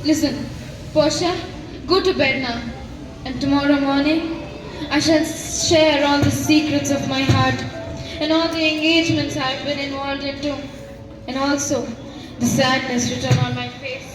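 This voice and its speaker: A young woman acting a troubled, devoted Roman nobleman and husband in a school play, with measured formal delivery. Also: high-pitched, fairly even intonation. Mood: solemn, reassuring. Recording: echoey stage from far off, music behind.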